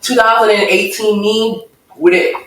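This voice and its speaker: A woman's voice: medium pitch, fairly even intonation, noisy background. Woman speaking earnestly in an echoey room. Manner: earnest, thoughtful, measured with pauses. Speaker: interview guest. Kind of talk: reflective personal testimony. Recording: echoey room, mic far off.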